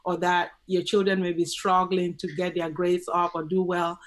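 Middle-aged cameroonian woman on a video call, lowish voice, steady earnest tone. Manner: earnest, measured, lists points steadily. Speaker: guest, parenting educator. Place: home office indoors. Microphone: video call webcam.

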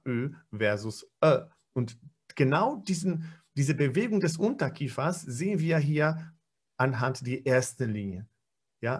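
A man in his forties teaches pronunciation in an online lecture, speaking into a webcam from a small room. His voice is gravelly and a little husky, sitting at a middle pitch. He explains emphatically and fills pauses with hesitations and restarts. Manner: explanatory, many fillers and self-corrections, emphatic. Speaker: pronunciation trainer. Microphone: webcam video call.

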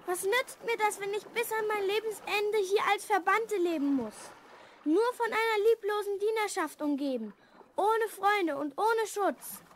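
A woman's voice: very high-pitched, unhurried, slight background noise. A woman playing a lonely young princess, proud and sad, in a formal, earnest way for a children's audio drama. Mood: anxious.

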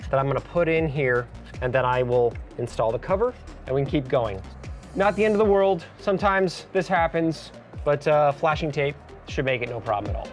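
A man in his thirties hosting a casual how-to video, chatty and joking, filmed in a garage and outside a house. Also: a little high. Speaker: DIY video host. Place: garage and outdoors beside a house.

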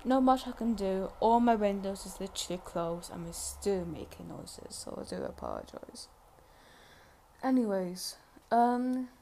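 A woman's voice: medium pitch, warm tone. A woman giving casual, hesitant commentary as a reviewer in a reaction video. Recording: faint road traffic noise. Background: cars on a busy road.

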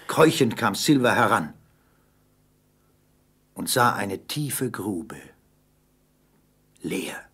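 A man's voice: lowish voice, very animated, drawn-out words, long pauses, a bit bright, husky. A man narrating an adventure audio play as a scripted, dramatic storyteller.

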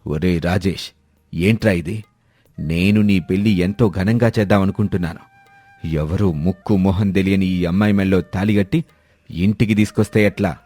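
A man plays an angry, domineering old patriarch in a cartoon moral tale. His low voice scolds, orders and threatens, recorded dry over faint music. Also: fairly even intonation, moderate pace, dark tone, no echo. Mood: outraged. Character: stern domineering old father, hotel owner, angry. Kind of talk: animated moral story acting.

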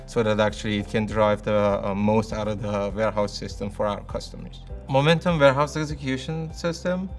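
Technical man hesitating with fillers. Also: medium pitch. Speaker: company expert. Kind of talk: corporate interview. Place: office interview set, indoors.

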